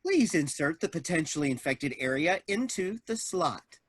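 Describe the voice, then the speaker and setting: A woman's voice: low voice, expressive, normal speed, a little hiss or hum. A woman playing an officious, blithely ominous AI robot assistant in a staged comedy reading, speaking in formal automated announcements. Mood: chirpy, formal. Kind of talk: staged reading of a comic play.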